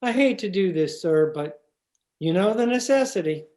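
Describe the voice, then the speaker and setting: A woman's voice: mid-range voice, lively intonation, moderate pace, warm tone, lightly acted. A woman playing a mild, hesitant, deferential schoolteacher in an old-time radio comedy style. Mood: hesitant, apologetic. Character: timid, mild-mannered, earnest schoolteacher.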